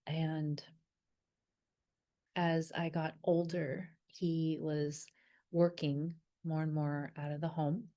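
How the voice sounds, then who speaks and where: A woman with a low, mellow voice speaks slowly and softly. She tells personal memories in a reflective, hesitant way, often pausing to search her thoughts. Her first language was Vietnamese. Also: level tone. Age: adult. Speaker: wellness creator.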